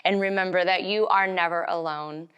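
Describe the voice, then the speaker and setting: A woman reading a picture book aloud to young children, gently and with little questions to them as she goes. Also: on the low side, fairly even intonation, moderate pace, clean tone, muffled recording. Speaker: storytime reader.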